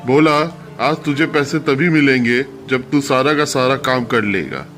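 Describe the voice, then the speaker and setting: A man's voice: medium pitch, lively intonation, unhurried, a bit bright, faint music behind. A man acting a harsh, miserly rich landowner in a story, stern and domineering. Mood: stern, scolding.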